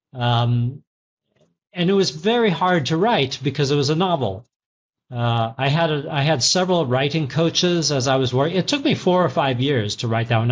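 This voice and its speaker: Middle-aged male guest, former CEO, reflective and teacherly, slightly muffled remote audio. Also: medium pitch. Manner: thoughtful, many ums and restarts, teacherly. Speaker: guest, former tech CEO turned executive coach. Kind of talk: interview answers, reflective storytelling.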